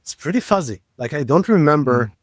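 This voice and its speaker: A man in his thirties from Israel with an Israeli accent, co-hosting a casual podcast and talking in a halting, joking way with fillers. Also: on the high side, animated, no room sound, clean studio sound. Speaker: podcast co-host. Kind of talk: casual chat.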